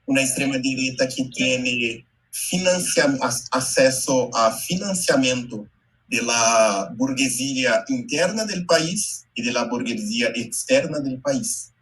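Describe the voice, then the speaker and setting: A Brazilian man whose first language is Portuguese, speaking slowly and haltingly in Spanish mixed with Portuguese, giving a talk over a video call. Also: mid-range voice, animated, fairly bright tone, poor audio. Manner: slow, careful, halting Portuguese-Spanish mix with many pauses. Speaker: invited speaker, educator.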